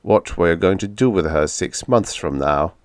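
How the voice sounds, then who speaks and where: A man as a blunt, calm time traveler. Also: low-pitched, normal speed, no room sound, studio quality. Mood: matter-of-fact. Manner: flat, matter-of-fact, firm. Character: calm, blunt visitor from the future.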